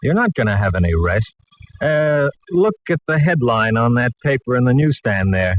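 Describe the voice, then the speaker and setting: An American actor playing a wisecracking city police inspector in a 1940s radio drama, with dry, teasing banter. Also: male voice, mid-range voice, very animated, moderate pace, close to an everyday voice. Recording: dull vintage radio recording.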